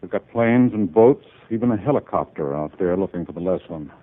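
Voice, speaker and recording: A man's voice: sits low, expressive, fairly quick. A man acting in a 1950s radio drama as a courteous, troubled businessman in his late fifties, polite and hesitant. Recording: very muffled old radio recording.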